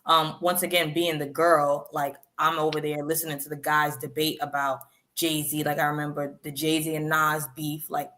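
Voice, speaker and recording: Rapper guest, female, 30s, low pitch, lively storyteller. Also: moderate pace, few pauses. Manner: animated, chatty storyteller. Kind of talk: interview storytelling.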